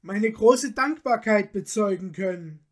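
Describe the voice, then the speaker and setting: A woman storyteller plays a modest, noble-hearted young man from a storybook. He talks very slowly and earnestly. His phrasing is polite, formal and old-fashioned. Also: mid-range voice, close to an everyday voice. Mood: amazed, thankful.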